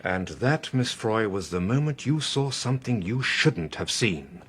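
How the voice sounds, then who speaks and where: Radio drama: government investigator, slightly rough voice, expressive pitch, methodical, polite, in command. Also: male voice, a little slow, full character voice. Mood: grave, certain. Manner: methodical, courteous, authoritative. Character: measured, probing male British government official.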